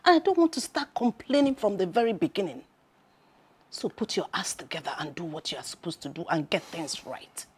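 A woman's voice: fairly low pitch, lively intonation, brisk, a little mellow, slight background noise, lightly acted. An actress playing a stern, imperious mother-in-law with a Nigerian accent, scolding and commanding in a film drama. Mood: cold, scolding.

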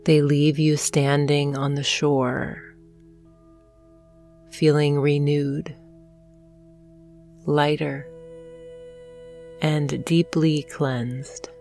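A woman narrating a guided hypnosis meditation in a soothing, gentle manner, leaving long pauses between phrases. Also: deep voice, little pitch movement. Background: soft meditation music with singing bowls.

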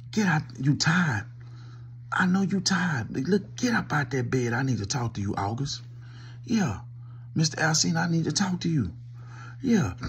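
A man's voice: a little high, slight background noise. A middle-aged man with a cold and a stuffy nose, doing a teasing one-sided pretend call into his phone from a small room. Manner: loose, teasing, talking to an unseen listener. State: sick with a cold, coughing. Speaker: comedy vlogger. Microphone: phone held close.

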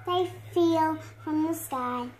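Slow, high-voiced young girl reading. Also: a little mellow. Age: child, 8 years old. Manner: halting, word-by-word read-aloud with stumbles. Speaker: young reader. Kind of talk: reading a picture storybook aloud. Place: indoor room at home.

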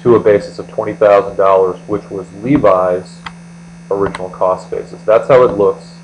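A man's voice: fairly low pitch, moderate pace, rough recording. An American man working methodically through an explanatory lecture as an accounting tutor, step by step. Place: indoors, voice-over on screen recording. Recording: steady mains hum.

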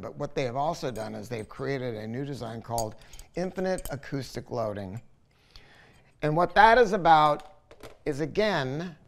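A man's voice: mid-range voice, creaky. An older man presenting an informal product review to camera, conversational and opinionated, leaning on repeated words for emphasis. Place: listening room at home.

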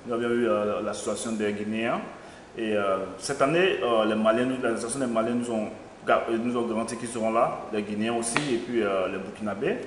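A young man with a lowish voice answers questions as an event organizer, halting often on fillers. He is recorded in an echoey studio with a long reverb tail and a faint hiss. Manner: hesitant, many fillers, lively bursts. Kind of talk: interview answers. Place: small studio with logo backdrop.

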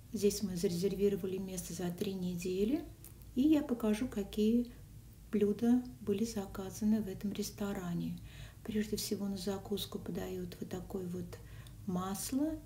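A woman narrates a casual food and travel vlog, her mellow voice now and then dropping to a whisper. She is caught on a phone a little way off, with a roomy echo and faint background noise. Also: mid-range voice. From Russian-speaking country. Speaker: vlogger. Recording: echoey, from a distance, faint noise.

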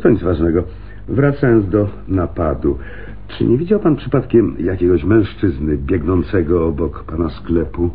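An actor playing a genial, probing police lieutenant in a radio play, conversational, with little acknowledging hums. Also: male voice, low voice, lively intonation, moderate pace. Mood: polite, probing. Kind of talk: Polish radio play acting. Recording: muffled, phone-like noisy radio recording.

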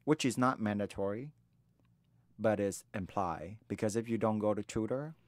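A man in his twenties from Vietnam with a Vietnamese accent, telling his life story in a candid conversation, earnest and halting, often restarting his sentences. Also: fairly low pitch, no echo. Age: 20s. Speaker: interviewee.

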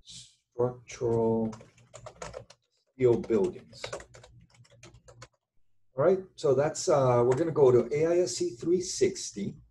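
A middle-aged man giving an informal tutorial as an instructor at his computer, halting and deliberate as he thinks aloud. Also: mid-range voice, mellow, slight background noise. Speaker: structural engineering instructor. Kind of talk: informal tutorial explanation over screen share. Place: indoors at a computer, webcam.

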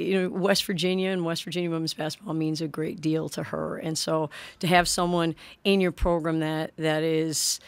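A woman in her forties, a head basketball coach, answering questions at a postgame press conference in a measured way, often restarting her sentences. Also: sits low, smooth, no room sound. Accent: American. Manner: measured, frequent restarts and "you know". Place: press room in front of a sponsor backdrop. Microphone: desk microphone on a stand.

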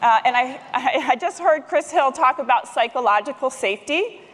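A woman's voice: high voice, lively intonation, moderate pace. A middle-aged woman giving a prepared conference presentation from a stage as a speaker. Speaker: conference speaker, technology manager. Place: large conference stage, indoors. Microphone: headset microphone. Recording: long hall reverb.

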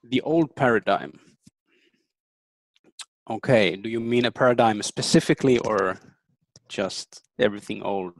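A man in his thirties, a native German speaker, giving earnest, thoughtful interview answers with frequent pauses and ums. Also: lowish voice, low-quality recording. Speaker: interview guest.

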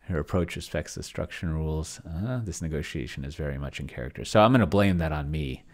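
A middle-aged man giving casual live commentary as he types and reads aloud, thinking out loud and trailing off, close on a desk microphone. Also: very deep voice, quick pace. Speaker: solo role-player and streamer demonstrating AI game mastering. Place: small indoor room. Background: computer keyboard typing.

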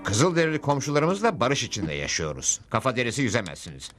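A man's voice: mid-range voice, wildly swooping pitch, brisk, a bit bright. A man playing a composed, upright cowboy hero in a dubbed cartoon, firm and wry. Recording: music behind the voice.

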